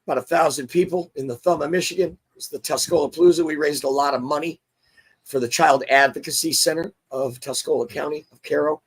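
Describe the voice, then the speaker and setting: A hoarse man hosting a casual sports talk show, chatty and excitable. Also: a little high, brisk. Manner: chatty, excitable, restarts often. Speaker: show host.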